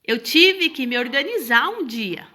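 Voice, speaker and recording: A woman's voice: high voice, very animated, unhurried, pushed and bright. A middle-aged woman with a cold sharing an earnest devotional message, stacking repeated phrases as she talks. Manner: earnest, repetitive, preachy. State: hoarse from a cold.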